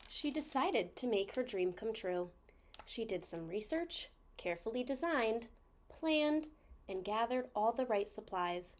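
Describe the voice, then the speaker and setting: A woman reading a children's story aloud expressively, stressing key words with deliberate phrasing. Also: fairly high pitch, expressive.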